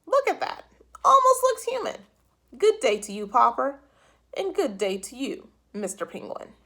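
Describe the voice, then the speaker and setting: A woman's voice: high voice, huge pitch swings, unhurried, a bit bright, voice pushed up, heavily acted. A woman voicing a puzzled, bumbling male policeman who stammers and exclaims. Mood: flustered.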